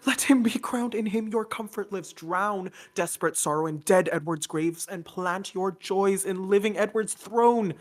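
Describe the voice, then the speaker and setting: A woman's voice: mid-range voice, measured pace, few pauses, natural voice. A woman playing an earnest, loyal noble counsellor in a Shakespearean radio play, speaking formal verse. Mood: urging.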